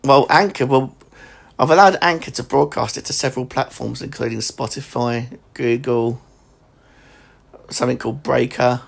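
Gravelly, husky man in his forties. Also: medium pitch, steady tone, moderate pace. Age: 40s. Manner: chatty, laughs often. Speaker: podcast host. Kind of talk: casual chat.

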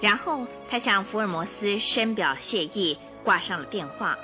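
A woman's voice: mid-range voice, animated, normal speed, music in the background. A woman narrating a radio drama in a scripted storytelling style.

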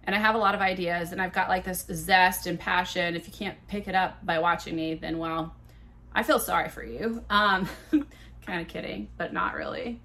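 A woman's voice: fairly low pitch, fairly even intonation, normal speed, vocal fry, pushed and bright. A woman in her thirties hosting her own podcast, telling stories in a chatty, profane, self-mocking way with a big voice. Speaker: podcast host. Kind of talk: casual solo podcast storytelling.

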